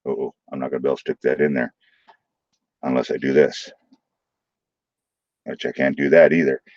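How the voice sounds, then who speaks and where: A man with a St. Louis accent hosting a late-night live stream from his room, joking loudly and swearing freely. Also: extremely low pitch, dry recording, dull audio. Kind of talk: casual live stream chat.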